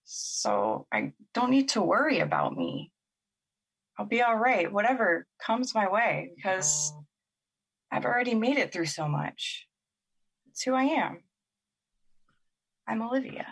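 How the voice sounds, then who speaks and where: A woman's voice: on the high side, very animated, unhurried, creaky, long pauses, fairly bright tone, slightly rough, full character voice, poor audio. A woman playing a confused, weary young woman in an emotional audio drama, questioning and emotionally exposed. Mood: resolved. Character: Olivia, confused, weary, vulnerable young woman finding courage.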